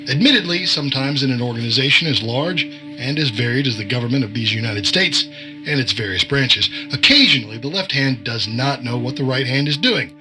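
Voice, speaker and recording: A man's voice: medium pitch, animated, fairly quick, music in the background, natural voice, low-quality recording. An actor playing a condescending government agent in an audio drama, wordy and mock-polite as he toys with his target. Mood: lecturing.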